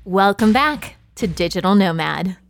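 A woman's voice: mid-range voice, lively intonation, a little slow, vocal fry, over loud music. A woman hosting a podcast, narrating and interviewing in a playful, teasing way. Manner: playful, laughs easily, teasing. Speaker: podcast host.

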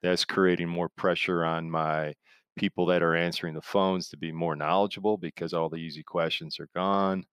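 A man's voice: sits low, flat delivery, moderate pace, fairly bright tone, clean tone, no echo, clean studio sound. A man speaking as an expert guest in an interview, explaining things conversationally in a rambling way with analogies. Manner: rambling, explains through analogies and imagined quotes.

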